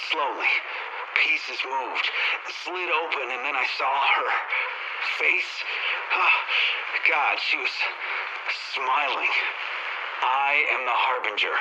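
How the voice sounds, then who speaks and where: Man playing a haunted, halting human prisoner. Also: mid-range voice, lightly acted. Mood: haunted awe. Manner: halting, fragmented, fearful. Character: broken, traumatized human prisoner, haunted and rambling. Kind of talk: scripted video game acting. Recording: very noisy recovered audio log.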